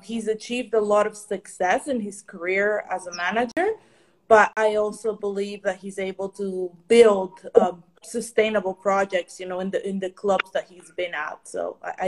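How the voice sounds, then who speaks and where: Guest: woman from Ecuador, football consultant; measured, hesitant delivery with restarts. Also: fairly low pitch, low-quality recording. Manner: thoughtful, many ums and restarts. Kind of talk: interview answers.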